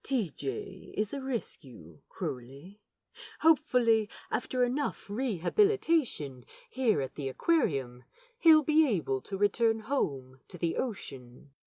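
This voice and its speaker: Woman voicing a gentle, learned man, unhurried and lilting. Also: medium pitch, big pitch swings, no room sound, studio quality. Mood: wistful. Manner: tender, reverent, gently explanatory. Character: gentle, learned man fond of sea animals. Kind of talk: fan fiction audio drama dialogue.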